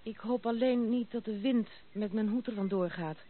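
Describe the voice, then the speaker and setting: A woman's voice: fairly high pitch, natural voice. Actress as a headstrong young noblewoman, tinny old broadcast. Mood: brisk, self-assured. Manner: brisk, self-assured, outspoken. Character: headstrong, unconventional young noblewoman, blunt and proud. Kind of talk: Dutch radio play acting. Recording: muffled narrow-band old radio recording, noisy.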